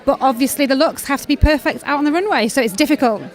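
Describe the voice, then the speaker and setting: Brisk high-voiced Welsh woman. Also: a faint crowd. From Wales. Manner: brisk, confident and articulate. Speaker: creative director. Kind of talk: interview answers. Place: backstage at a fashion show.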